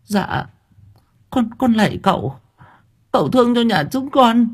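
A man's voice: very high voice, expressive, unhurried, husky, pitched above the performer's own voice, exaggerated voice. A man voicing a poor elderly peasant woman who stammers and begs tearfully. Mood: pleading.

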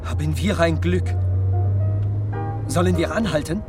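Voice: medium pitch, unhurried, over music, natural voice. An actor playing a curious, down-to-earth taxi driver who asks questions in naturalistic radio drama dialogue. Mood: amazed.

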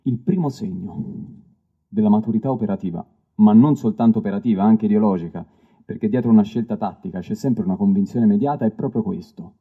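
A man giving a dramatic literary reading, declamatory and deliberate, with weighty pauses. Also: breathy edge. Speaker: stage reader of literary texts. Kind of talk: dramatic literary reading over live music. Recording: dull lo-fi recording, plucked bass music behind. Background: live stick bass music.

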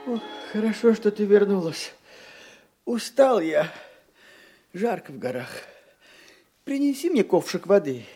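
An actor playing a gentle, weary old peasant husband in a Russian audio fairy tale, with a fond, sighing, expressive delivery. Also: male voice, high-pitched, measured pace, pauses often, full character voice. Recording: studio radio play, prominent music behind.

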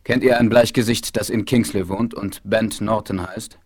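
An actor playing a grave, dignified Apache chief in a German radio play, speaking formally and solemnly. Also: male voice, on the low side, fairly even intonation, normal speed, breathy edge. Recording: hissy, crackly 1970s vinyl record.

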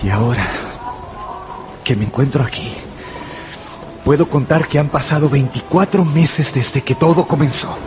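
An actor playing an obsessive, penniless young gambler who narrates a radio drama in a brooding, confessional manner. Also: male voice, mid-range voice, normal speed, pauses often, music in the background, close to an everyday voice. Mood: resigned, wistful.

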